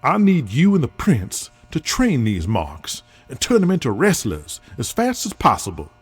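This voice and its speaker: Man acting a husky, animated wrestling booker over music. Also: medium pitch, moderate pace. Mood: pushy. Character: brash, persuasive wrestling booker and star with big plans. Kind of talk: audio drama dialogue. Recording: music bed under the voice.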